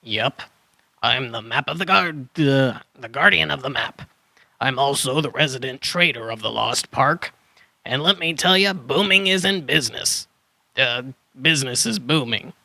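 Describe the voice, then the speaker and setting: An eccentric old dinosaur hermit, acted out by a man, with a very bright, forceful edge over a creaky rasp. He is a flustered trader from a children's audio drama who jumbles his words. The recording is dry and close. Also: mid-range voice, animated, normal speed, dry recording, heavily acted. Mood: quirky, chatty. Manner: muddles and swaps word order, then corrects himself.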